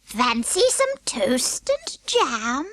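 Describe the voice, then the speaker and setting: Storybook wicked witch: slow, insinuating, sly, with false hospitality. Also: high voice, sing-song, fairly bright tone, no echo, studio quality, exaggerated voice. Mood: slyly hospitable. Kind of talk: children's storybook character reading.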